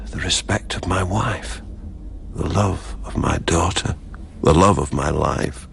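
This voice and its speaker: A haunted father who has lost everything, in a poetic radio drama. His voice is very deep and creaky, his delivery slow, halting and bitter. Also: fairly bright tone, slight background noise, exaggerated voice. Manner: brooding, halting, bitter verse.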